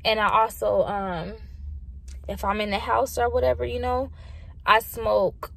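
A woman's voice: fairly high pitch, little pitch movement, unhurried, creaky, fairly bright tone. A slightly tipsy woman vlogger chatting casually from inside a car, rambling and swearing freely. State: a little tipsy. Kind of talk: casual chat. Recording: noisy in-car recording.

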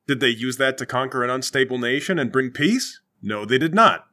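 A man's voice: medium pitch, a little fast, pushed and bright. A man bantering casually and comically as a player in a tabletop game.

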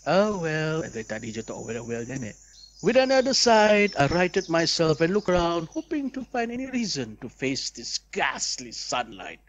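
A man's voice: on the high side, big pitch swings, normal speed, fairly bright tone, highs cut off. A young man reads a visual novel aloud as a let's play host, with dramatic expression and asides.

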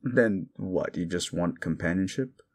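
A man playing a slow, condescending low-voiced demon. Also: a little mellow. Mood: mocking, amused. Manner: condescending, theatrical, mocking drawl with muttered asides. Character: condescending, hungry male demon, sardonic and exasperated. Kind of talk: scripted audio roleplay addressed to the listener.

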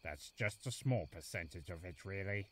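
Aloof male cartoon forest beast, acted by a man: low pitch, lonely and gruff, curt, dry delivery. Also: expressive, moderate pace. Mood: brooding. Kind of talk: animated fan drama acting.

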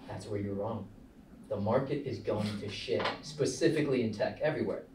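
A man's voice: on the low side, a little fast, natural voice. A young man reading the part of a brash startup founder at a film table read, insistent and persuasive like a salesman. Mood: urgent. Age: young adult. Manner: insistent, preachy salesman delivery. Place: small meeting room, indoors. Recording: roomy, distant mic, faint noise.

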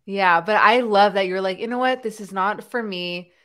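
A young woman hosting a podcast over a video call from home, with a mild stutter that shows as pauses and restarts. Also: mid-range voice, a bit bright. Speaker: podcast host. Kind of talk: casual interview chat. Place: home room. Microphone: headset with boom mic on a video call.

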